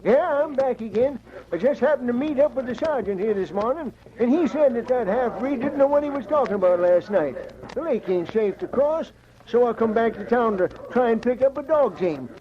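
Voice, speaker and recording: An actor playing a folksy, gullible gold prospector with rustic frontier speech in an old-time radio drama. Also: male voice, very high voice, lively intonation, normal speed, crowd noise. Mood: friendly. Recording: muffled, noisy old radio recording.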